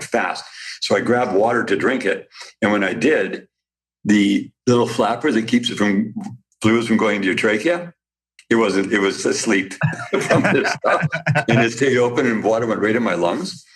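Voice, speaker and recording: A man with a slightly raspy voice, a podcast guest who owns a supplement business, telling rambling, animated stories in casual conversation. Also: on the low side.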